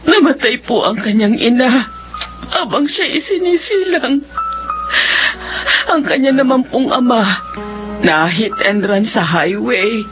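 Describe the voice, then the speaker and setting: Poor old Filipino grandmother: very high voice, stammering pleas, radio drama, muffled under music. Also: lively intonation, a little slow, pauses often. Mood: humble, sorrowful. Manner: pleading, stammering. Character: poor elderly grandmother, desperate street vendor, humbly grateful.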